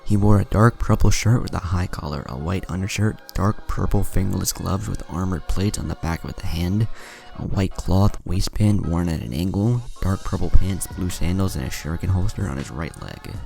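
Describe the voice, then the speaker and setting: A man's voice: low-pitched, normal speed, gravelly, darkish tone. A man narrating a scripted fan fiction story, with music playing behind him. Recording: music under the voice. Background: background music.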